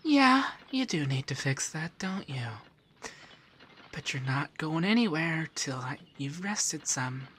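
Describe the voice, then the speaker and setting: A man playing a doting, teasing feminine male monster companion in a softspoken, often whispered ASMR roleplay. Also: fairly high pitch, very animated, takes it slowly, a little hiss or hum, full character voice. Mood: gentle, caring. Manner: breathy, often dropping to a whisper.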